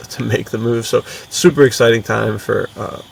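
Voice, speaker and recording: A man with a gravelly, creaky voice, talking as a podcast co-host in a casual, enthusiastic, slangy way. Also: mid-range voice, fairly even intonation, normal speed, distant mic, slight background noise.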